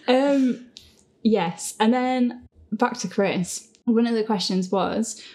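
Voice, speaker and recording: A woman's voice: a little high, sing-song, very slow. A woman hosting a casual group Q&A, chatting informally with a giggly manner. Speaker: host and creator of an audio drama. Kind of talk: casual, giggly panel Q&A chat.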